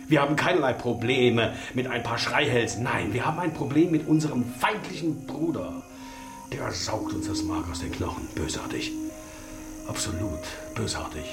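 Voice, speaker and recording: Menacing, wildly animated colonel. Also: male voice, medium pitch, normal speed, over music. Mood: grandiose, bitter. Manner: pompous, theatrical, swinging from soft menace to outbursts. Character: menacing, grandiose East German secret police colonel. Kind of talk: radio drama acting.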